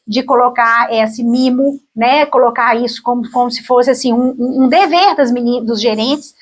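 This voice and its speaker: A woman speaks as an interview guest. Her voice sits a little high. She halts often and repeats words while she searches for what to say. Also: normal speed. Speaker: guest, hotel businesswoman. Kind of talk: interview answers.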